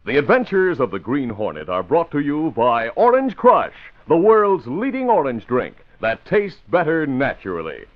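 A man's voice: fairly high pitch, wildly swooping pitch, moderate pace, hardly any pauses. A man announcing and narrating dramatically in the scripted style of old radio. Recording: dull old radio recording. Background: music.

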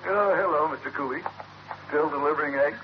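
An actor playing a pompous middle-aged uncle in an old radio sitcom, sputtering and muttering to himself. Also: male voice, a little high, a little fast, natural voice. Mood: weary, affable. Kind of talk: 1940s-50s radio sitcom acting. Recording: muffled, noisy vintage radio recording.

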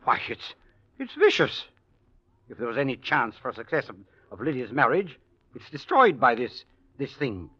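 A man's voice: medium pitch, big pitch swings, normal speed, pushed and bright, breathy edge, dry recording, heavily acted. An actor playing a principled, earnest, protesting male family lawyer in 1940s radio drama. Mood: appalled. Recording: dull vintage radio recording.

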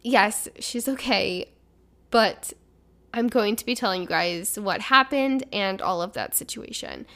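A woman's voice: medium pitch, unhurried, pushed and bright. A woman hosting a casual podcast, chatty and upbeat, her voice a little stuffy as she gets over the flu. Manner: chatty and upbeat, rambling storyteller. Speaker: podcast host.

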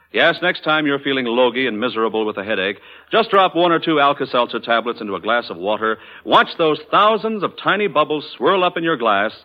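Male commercial announcer, 1940s radio style, earnest and emphatic, very lively intonation, muffled old broadcast sound. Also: mid-range voice. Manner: earnest, emphatic salesman delivery.

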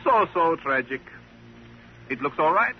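An actor playing a vain, affected, fussy stage magician in an old-time radio drama. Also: male voice, fairly high pitch, big pitch swings, measured pace. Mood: affected, self-absorbed. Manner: affected, formal, peevish. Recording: muffled, hissy old radio recording.

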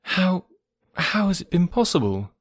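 A man voicing a lively, eager young gentlewoman with emphatic, genteel speech in an audiobook. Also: high-pitched, unhurried, no room sound, voice pushed up, heavily acted. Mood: amazed, eager. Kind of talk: audiobook character dialogue.

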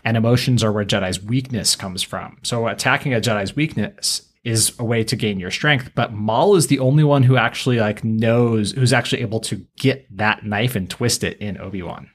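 Casting: male podcast co-host, fairly low pitch, casual commentary with a wry, exaggerating streak. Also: moderate pace, hardly any pauses, slightly rough. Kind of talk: casual chat, pop-culture commentary.

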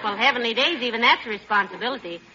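An American actress playing a wry, skeptical, teasing wife in 1940s radio comedy, with a dry needling manner. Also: female voice, a little high, fast, sharp tone, breathy edge, some chatter behind. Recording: dull, lo-fi 1940s radio recording.

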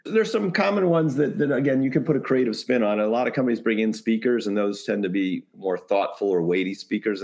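A man's voice: low-pitched, a little mellow. A man talking casually as a podcast guest, brainstorming ideas in a rambling way with jokey asides. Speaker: podcast guest, creative compliance consultant.

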